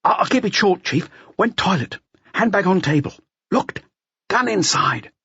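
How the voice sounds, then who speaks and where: Man doing a high, jittery, furtive police inspector. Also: lively intonation, fairly bright tone, breathy edge, dry recording, highs cut off, exaggerated voice. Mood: furtive, nervous. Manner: halting, clipped, furtive. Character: nervous, conspiratorial male police inspector whispering reports.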